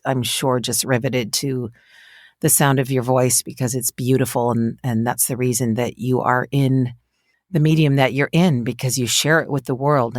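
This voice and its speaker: A woman with a low voice hosts a chatty podcast interview. She speaks effusively and is generous with compliments. The sound is dry, with very little room in it. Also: no room sound. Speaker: podcast host.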